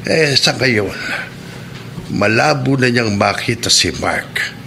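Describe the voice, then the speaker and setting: An actor playing a brooding, musing neighbor in a Filipino radio drama monologue. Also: male voice, mid-range voice, lively intonation, slow pace, gravelly, pushed and bright, slight background noise, heavily acted. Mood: pensive. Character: brooding neighbor recalling others' sad lives.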